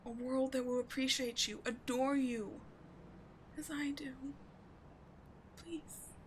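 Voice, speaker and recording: A woman playing an obsessive, devoted villain in a dramatic ASMR roleplay, speaking with theatrical intensity that moves between forceful and hushed. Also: high-pitched, fairly even intonation, takes it slowly, slight background noise. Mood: desperate pleading. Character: obsessive, devoted female villain wooing a wounded sidekick.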